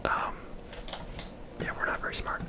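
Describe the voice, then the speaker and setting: Casting: ASMR game streamer, fully whispered, casual and chatty play-by-play. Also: a little slow.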